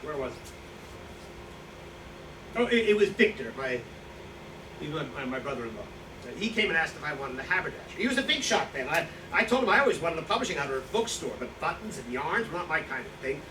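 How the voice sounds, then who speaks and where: A man in a staged reading playing a boastful, defensive, hard-drinking old writer, rambling and halting as he justifies himself. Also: a little high, sing-song. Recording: distant echoey room, noisy draft recording.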